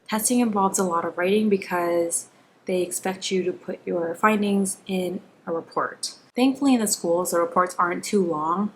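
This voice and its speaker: A woman's voice: medium pitch, a little hiss or hum. A young woman who grew up with Korean and English, talking casually and candidly as a school psychologist giving advice in a vlog. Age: young adult. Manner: informal, candid, self-deprecating, frequent "like". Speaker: school psychologist, video creator.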